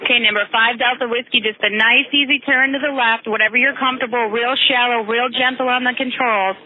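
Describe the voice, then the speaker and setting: A woman's voice: on the high side, fairly even intonation, a little fast, phone-quality sound. A woman air traffic controller giving reassuring, encouraging instructions to a pilot over aviation radio.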